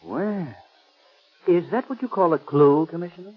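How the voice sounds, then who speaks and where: A man's voice: high-pitched, expressive, moderate pace, soft background music. An actor playing a suave, wry, unruffled man about town with urbane, teasing 1930s radio delivery. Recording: muffled, noisy 1930s radio recording.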